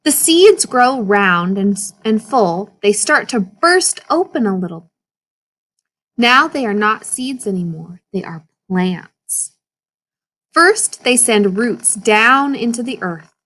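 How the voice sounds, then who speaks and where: A woman reading a children's picture book aloud in an expressive storytelling manner for young listeners. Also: medium pitch, slow, poor audio. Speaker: story reader.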